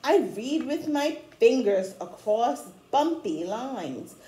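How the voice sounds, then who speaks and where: A middle-aged woman reading a storybook aloud to young children, with chatty asides, in an expressive, encouraging way. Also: on the high side, takes it slowly. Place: small room at home, indoors. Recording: echoey small room.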